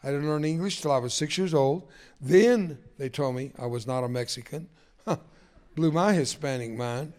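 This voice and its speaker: A man's voice: fairly high pitch, moderate pace. Older man preaching a folksy sermon. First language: Spanish. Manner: folksy, self-deprecating, emotional swings from jokes to quiet.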